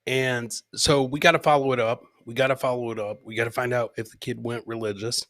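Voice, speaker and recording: A man's voice: medium pitch, fairly even intonation, a little fast, fairly bright tone. A man hosting a casual live comedy podcast, rambling and jokey, often repeating his own phrases. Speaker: podcast host.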